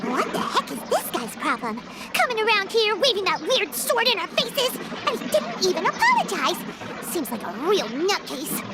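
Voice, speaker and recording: A woman voicing a tiny, childlike and excitable fairy companion with a squeaky voice in a video game. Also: normal speed, very noisy, heavily acted. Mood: indignant. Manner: speaks of self in third person, exclaiming. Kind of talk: English video game voice acting. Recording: game music behind the voice.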